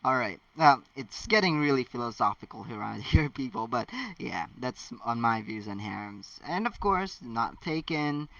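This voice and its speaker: Bright-voiced man reading and rambling, slightly muffled. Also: medium pitch, animated, creaky, no echo, recorded from a distance. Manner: chatty, halting, often breaks into asides. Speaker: amateur fan fiction narrator. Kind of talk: casual read-aloud with rambling personal commentary.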